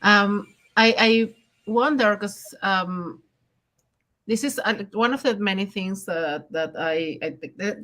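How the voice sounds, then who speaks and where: A woman's voice: medium pitch, slow pace, fairly bright tone. A woman hosting a podcast, thinking aloud with many hesitations and restarts. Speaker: podcast host. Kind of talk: conversational podcast talk.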